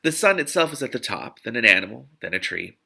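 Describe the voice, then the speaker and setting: A man's voice: mid-range voice, sing-song, quick pace, gravelly, bright, forceful tone, full character voice. A man voicing a kindly, earnest woman mystic in a storybook reading, careful and instructive. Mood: awed, explaining. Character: kindly, earnest woman mystic who crafts magic wards.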